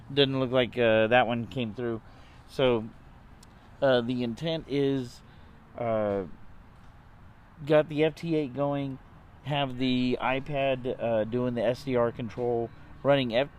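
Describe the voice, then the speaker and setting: A man with a mid-range voice explains things off the cuff for an amateur radio vlog. His delivery is halting and hesitant, stopping and picking up again mid-sentence. He is recorded outdoors, with clear ambient noise behind him. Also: medium pitch.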